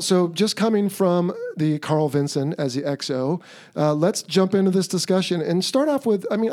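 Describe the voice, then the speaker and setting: A man's voice: fairly high pitch, a little mellow, no room sound, clean studio sound. A middle-aged man hosting a podcast, speaking in a casual, conversational way as he presents and interviews. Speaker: podcast host.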